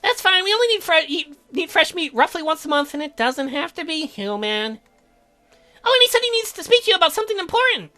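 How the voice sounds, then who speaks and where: A ghoulish, man-eating young lady cousin acted out by a man from a horror game. The voice is extremely high and bright, rattling along quickly with gleeful, theatrical eagerness. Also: very animated, soft background music, exaggerated voice. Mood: prim, helpful.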